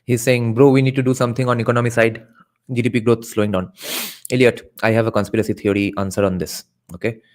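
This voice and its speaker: A man's voice: mid-range voice, flat delivery, fast. A man hosting a casual reaction stream, thinking aloud and answering chat. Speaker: podcast host reacting to a video.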